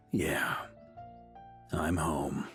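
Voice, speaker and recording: A man playing a warm, weary knight grandmaster in a video game, with steady, heartfelt delivery. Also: very deep voice, gravelly, heavily acted. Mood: wistful, easygoing. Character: warm, weary male knight grandmaster returning home from expedition. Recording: loud game music behind voice.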